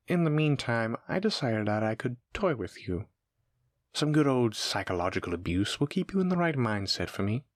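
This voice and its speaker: A man's voice: mid-range voice, no echo, studio quality. A man playing an ancient, sadistic monster in a horror audio roleplay, musing theatrically and mocking the human pet he addresses. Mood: wry, taunting. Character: taunting sadistic centuries-old male monster keeping a human pet.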